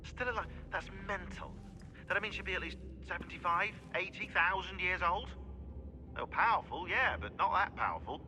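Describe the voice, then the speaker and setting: A man's voice: sits high, expressive, moderate pace, rough recording. A man voicing a bookish, sarcastic young British historian in a video game, pedantic and emphatic in conversation. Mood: incredulous. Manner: wry, pedantic, emphatic storytelling. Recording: music playing behind the voice.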